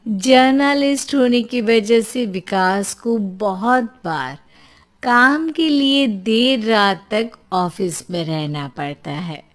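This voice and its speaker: A woman narrating a scripted story as its storyteller. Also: mid-range voice.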